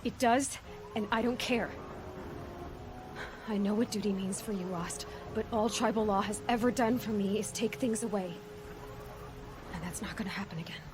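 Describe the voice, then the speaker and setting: An actress as a headstrong young huntress in a video game, with a mid-pitched voice. She is earnest, stubborn and quick to question, with clear ambient noise behind her. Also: lightly acted. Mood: defiant, determined. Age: young adult. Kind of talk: video game cutscene voice acting.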